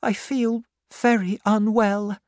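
A woman's voice: mid-range voice, little pitch movement, slow pace, no echo, studio quality, voice pushed up, close to an everyday voice. A woman doing a timid young widowed mother. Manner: soft, timid. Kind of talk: storybook reading.

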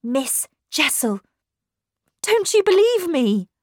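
Lady doing a worried young governess from a storybook, high voice, urgent n insistent, really animated. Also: takes it slowly, dry recording, clean studio sound. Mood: impatient, insistent. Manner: urgent, emphatic, impatient. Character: anxious, insistent young woman governess, easily horrified.

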